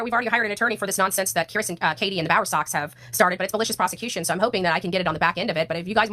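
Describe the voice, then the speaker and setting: American lady streamer ranting super fast, sharp bright voice, blunt n indignant, slangy. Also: fairly low pitch, a little hiss or hum. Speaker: livestream host. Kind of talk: casual livestream rant, replying to chat.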